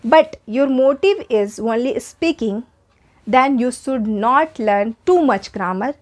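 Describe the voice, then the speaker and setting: A young woman with an Indian English accent whose first language is Hindi. She gives an earnest, encouraging spoken English lesson and repeats her points for emphasis. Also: on the high side. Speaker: English teacher.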